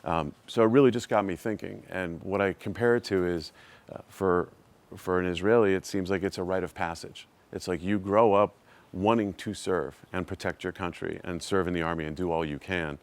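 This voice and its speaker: A man's voice: low-pitched, steady tone, moderate pace. A man in his forties with an American accent, giving an unscripted, reflective and earnest personal account. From Massachusetts, United States. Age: 40s. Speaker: trip participant giving a testimonial.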